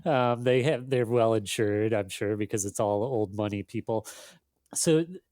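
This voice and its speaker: A man speaking casually as an editor being interviewed, in a thoughtful, halting, self-deprecating way. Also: on the low side, darkish tone, dry recording, studio quality. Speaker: magazine editor, interview guest.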